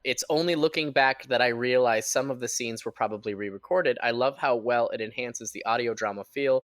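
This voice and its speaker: A man's voice: medium pitch, a little fast, no room sound. Guy co-hosting a podcast, reads out listener questions casually, midrange voice, really dry recording. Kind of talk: reading out questions, casual chat.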